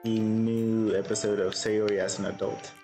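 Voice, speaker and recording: A man live-streaming a game, with a soothing voice, thinking aloud in a relaxed way and answering chat. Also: fairly low pitch, moderate pace, echoey room, soft background music.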